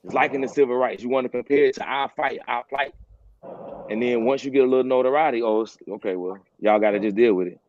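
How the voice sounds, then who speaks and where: A man's voice: mid-range voice, fast talker, darkish tone. A man co-hosting a casual talk show, earnest, repeating phrases to drive a point home. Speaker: podcast co-host. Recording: dull lo-fi sound with faint hiss.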